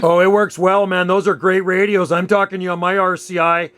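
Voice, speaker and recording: A man's voice: high voice, flat delivery, fairly quick, very noisy. A middle-aged or older man chatting casually and enthusiastically in a folksy way during a two-way radio conversation. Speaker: radio operator.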